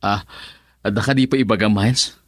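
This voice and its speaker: A man's voice: fairly low pitch, steady tone, fairly quick, no echo, studio quality, natural voice. A man acting an easygoing, polite young man in a radio drama, casual and obliging, with a Filipino accent.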